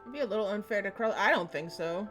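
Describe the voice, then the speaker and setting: A woman's voice: medium pitch, expressive, fast. A woman live-streaming a game, reacting in a casual, offhand and irreverent way. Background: game music.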